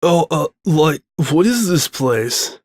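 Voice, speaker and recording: A man voicing a laid-back, slow-witted young male stoner in a storybook reading, with hesitant, drawn-out slacker talk. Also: on the high side, big pitch swings, dry recording, studio quality, pitched above the performer's own voice, exaggerated voice. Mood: dazed, puzzled.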